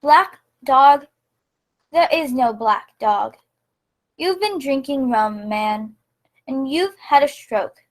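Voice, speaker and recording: A woman's voice: high-pitched, slow pace, long pauses. A woman playing a blunt, stern male doctor in a radio play. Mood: stern, scolding.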